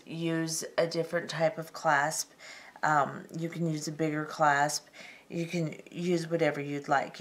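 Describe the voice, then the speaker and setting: A middle-aged woman hosting a step-by-step beading tutorial, talking calmly and methodically with frequent ums. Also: deep voice. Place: tabletop craft workspace, indoors.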